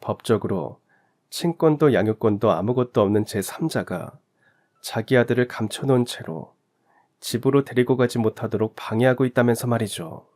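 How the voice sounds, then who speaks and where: A man's voice: medium pitch, normal speed, a little mellow. A man reading a first-person story aloud in an earnest, confiding storytelling manner. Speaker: story narrator. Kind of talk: scripted first-person narration.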